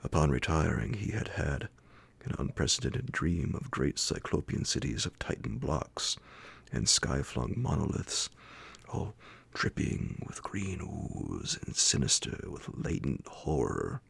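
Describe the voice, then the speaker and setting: A man reading classic horror fiction as an ASMR bedtime audiobook, hushed and deliberate, with a gravelly, breathy voice that slips toward a whisper, close to the microphone. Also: very deep voice, sing-song, no echo. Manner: hushed, slow, deliberate phrasing. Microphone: close, dry microphone.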